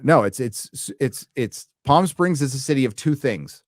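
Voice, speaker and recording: A man's voice: medium pitch, lively intonation, measured pace, no room sound, clean studio sound. A middle-aged man hosting a casual live show, laid-back and swearing freely, reading donations and laughing often as he talks. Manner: laughs often mid-sentence. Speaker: podcast host. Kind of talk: casual live-stream chat.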